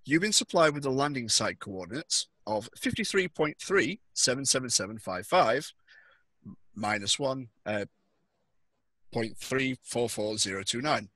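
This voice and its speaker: A young man acts as game master for a tabletop roleplaying session. His voice sits at a middle pitch with a bright edge and a rough, husky grain. The sound is dry, with very little room. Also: expressive, a little slow, no room sound. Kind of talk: narrating a tabletop roleplaying scenario. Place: indoor room on a video call.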